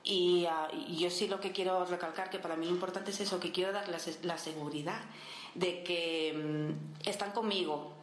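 A woman, a caregiver answering radio interview questions in a warm, halting way with many restarts. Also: lowish voice, a little hiss or hum. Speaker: interviewee, caregiver in a children's home. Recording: echoey room.

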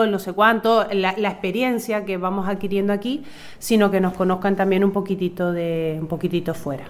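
A woman from Tenerife, a summer camp coordinator, answering an interview in a halting, searching way. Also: medium pitch, darkish tone. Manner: hesitant, searching for words.

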